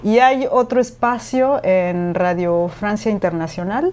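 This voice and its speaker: A woman's voice: mid-range voice, a little slow. A woman, a podcast host and former radio announcer, talking candidly as an interview guest. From Mexico. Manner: candid, animated, many fillers. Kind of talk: conversational interview.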